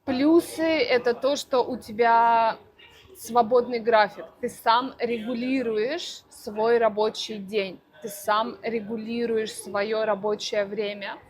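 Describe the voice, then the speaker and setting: Slow, deliberate woman teacher. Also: fairly high pitch, fairly even intonation. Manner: slow, deliberate, clearly articulated. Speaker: Russian language teacher. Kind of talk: answering interview questions for learners.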